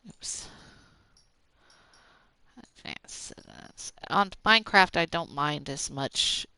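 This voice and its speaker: A streamer from Oklahoma with a Southern accent, rambling in fast, casual talk with plenty of fillers while playing a game. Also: mid-range voice, pushed and bright, clean tone, no room sound. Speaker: gaming streamer.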